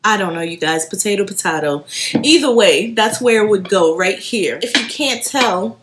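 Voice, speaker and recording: A woman's voice: mid-range voice, expressive, normal speed, a bit bright. A woman in her late thirties, originally from Haiti and not a native English speaker, chatting enthusiastically to viewers while showing off her thrift store finds. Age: 30s. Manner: chatty, enthusiastic, addresses viewers as you guys. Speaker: home decor vlogger. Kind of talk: casual thrift haul show-and-tell.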